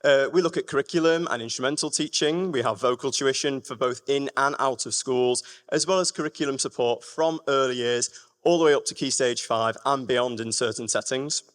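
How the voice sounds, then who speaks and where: A man's voice: medium pitch, fairly quick, a bit bright. A man with a Lancashire accent giving an upbeat, enthusiastic talk at a conference. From England. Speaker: conference speaker. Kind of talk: public talk. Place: conference room. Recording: reverberant hall.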